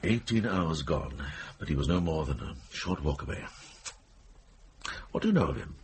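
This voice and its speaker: Very deep, commanding medieval monk. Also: male voice, expressive, breathy edge, heavily acted. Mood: probing, urgent. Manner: brisk, questioning, authoritative. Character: shrewd medieval monk healer and investigator, commanding. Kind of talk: period radio drama acting.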